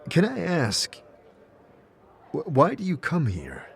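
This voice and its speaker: A man's voice: on the high side, huge pitch swings, slow, vocal fry, pitched above the performer's own voice, full character voice. A man voicing a scarred wanderer in a fan audio drama, polite and hesitant, often stammering.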